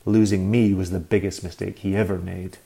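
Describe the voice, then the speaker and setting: A man's voice: low voice, flat delivery, a little fast, gravelly, dark tone, husky, full character voice. A man voicing a bitter, drunken mother who hisses insults down a phone line. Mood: scornful. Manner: hissing, venomous.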